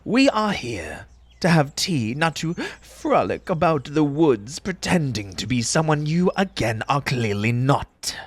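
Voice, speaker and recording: A man playing an eccentric, fussy tea-party host in an audio roleplay, pompous and formal in old-fashioned diction, with a breathy, husky voice and an undertone of grief. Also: a little high, sing-song, measured pace, dry recording. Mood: indignant, scolding. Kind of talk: theatrical character audio roleplay.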